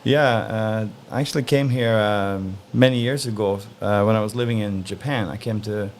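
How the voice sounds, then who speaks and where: Interview guest, male, from Norway, gravelly low voice, easygoing and thoughtful. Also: slight background noise. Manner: relaxed, thoughtful, frequent "uh" pauses. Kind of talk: casual interview answers.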